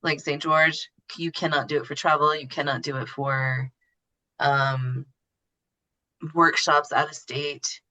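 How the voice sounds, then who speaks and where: A woman's voice: low voice, little pitch movement, unhurried, bright, forceful tone. A woman explaining things as a podcast guest in casual, informal interview talk. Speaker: podcast guest explaining her know-how.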